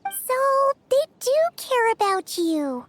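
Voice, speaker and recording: A woman voicing a tiny, bubbly fairy companion in a video game, with a squeaky childlike voice and exclamatory delivery. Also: very animated, slow pace, exaggerated voice. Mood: curious, surprised. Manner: bubbly, exclamatory, refers to self by name. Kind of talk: video game character acting.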